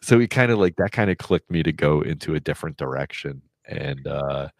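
A man's voice: extremely low pitch, lively intonation, brisk, gravelly, no room sound, clean studio sound. A man in his forties in casual podcast chat, rambling with lots of fillers and restarts. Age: 40s.

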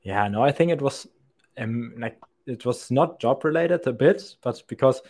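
A man's voice: medium pitch, animated, normal speed. Young man rambling through interview answers. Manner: rambling and thoughtful, frequent restarts and soft laughs. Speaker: podcast guest, sales account executive.

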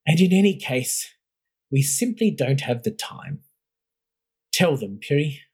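A woman's voice: deep voice, very animated, unhurried, darkish tone, breathy edge, heavily acted. A narrator voicing a stern, commanding woman who heads an imperial academy, speaking with cutting scorn. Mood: grim.

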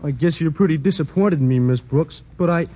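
An actor playing a shy, hesitant lovesick teenage athlete in a 1940s radio sitcom. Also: male voice, on the high side, fairly quick, warm tone, lightly acted. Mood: bashful. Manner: bashful, hesitant. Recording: lo-fi hissy old radio broadcast.

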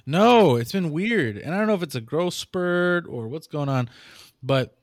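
A young man, a new father, chatting casually on a podcast and rambling through stories with sudden lively jumps in his voice. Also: measured pace, no room sound, studio quality. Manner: rambling storyteller, animated jumps in pitch. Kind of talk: casual chat.

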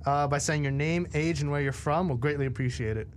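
A man's voice: fairly high pitch, brisk. Young man co-hosting, faint background noise. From New Jersey, US. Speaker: co-host. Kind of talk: casual joking chat.

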